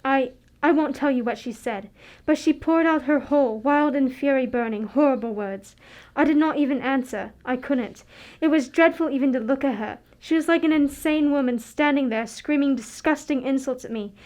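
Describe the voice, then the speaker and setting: A distressed young governess in a radio mystery, played by a woman. Her voice is high, earnest and dignified, with a halting, emotional delivery. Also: darkish tone, close to an everyday voice. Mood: anguished. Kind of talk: radio drama acting.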